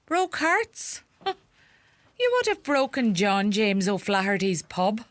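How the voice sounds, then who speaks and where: An actress playing a bossy, sharp-tongued rural mother with a Donegal Irish accent in a radio play. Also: mid-range voice, slow pace, no echo. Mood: scolding. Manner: scolding, animated, gossipy.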